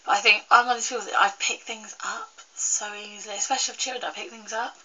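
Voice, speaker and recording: Bright-voiced young woman, bunged up. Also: medium pitch, fairly even intonation, fast, a little hiss or hum, poor audio. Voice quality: slightly croaky. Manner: rambling, many fillers and restarts. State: congested with a cold. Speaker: vlogger. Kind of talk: casual chat to camera.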